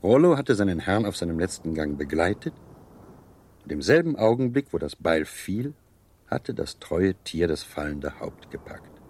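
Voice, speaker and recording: A suave officer and charmer in a radio drama. His low, husky voice rises and falls widely as he savours every phrase with theatrical, teasing gallantry. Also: sing-song, normal speed, a little mellow, exaggerated voice. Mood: suave, dramatic storytelling. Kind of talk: German radio play acting.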